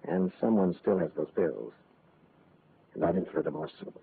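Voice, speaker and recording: An actor in an old radio drama playing a gruff, guarded, well-off married man who talks tersely. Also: low-pitched, very animated, normal speed, full character voice. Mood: uneasy, resigned. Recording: tinny, noisy old radio recording.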